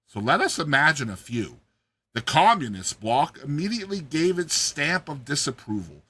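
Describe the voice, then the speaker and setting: A man narrating a short story in a theatrical, expressive, dramatized reading style. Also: on the high side. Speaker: narrator, host of a reading series.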